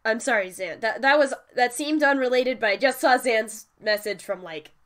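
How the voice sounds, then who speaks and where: A woman's voice: on the high side, normal speed, a bit bright. A woman with a stuffy cold, chatting casually through a screen-share tutorial on tuning a vocal synth, with laughing, mildly swearing asides. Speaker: music producer teaching vocal synth tuning.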